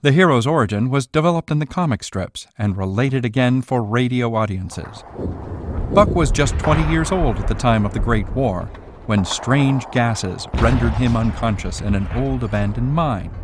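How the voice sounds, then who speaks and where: A man's voice: mid-range voice, expressive, moderate pace, noisy background. A man reading scripted documentary narration about the past in the role of presenter. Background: music.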